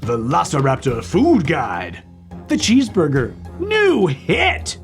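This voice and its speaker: Very expressive man reading to kids over music. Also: fairly high pitch, slow. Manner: playful, expressive. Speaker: co-host of a children's read-aloud show. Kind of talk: animated read-aloud narration for young children.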